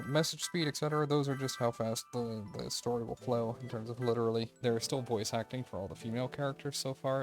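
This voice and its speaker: Man casually talking over game music. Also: medium pitch. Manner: tentative, unscripted, thinking aloud. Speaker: host of a game review video. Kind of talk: casual gameplay commentary.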